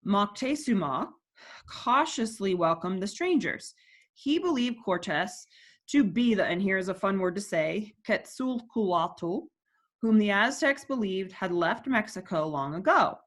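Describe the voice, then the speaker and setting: A woman's voice: mid-range voice, very animated, a little slow, fairly bright tone. A woman teacher reading a textbook section aloud and stopping to explain terms and put questions to her class.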